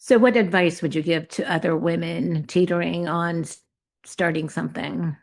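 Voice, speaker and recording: A woman's voice: on the low side, steady tone, normal speed. A woman interviewer asking questions and offering brief encouraging interjections.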